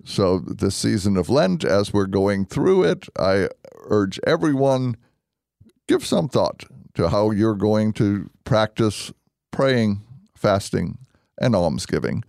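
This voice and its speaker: A man speaking as a deacon and radio host, conversational and earnest, explaining things deliberately. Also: fairly low pitch, sing-song, vocal fry, a little mellow, dry recording, studio quality. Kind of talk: conversational religious radio hosting.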